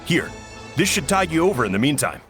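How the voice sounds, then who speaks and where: An actor playing a kindly, easygoing uncle who cooks, speaking affably in video game dialogue. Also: male voice, on the high side, very animated, brisk, over music. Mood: warmly teasing. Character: kindly, easygoing adult uncle, village cook. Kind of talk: animated game dialogue acting.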